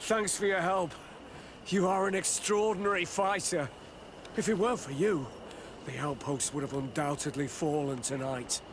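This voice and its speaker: Man as worried, higher-voiced outpost officer. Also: steady tone, moderate pace, noisy background, natural voice. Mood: weary, grateful. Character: worried male outpost officer, dutiful soldier under siege. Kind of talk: video game cutscene acting.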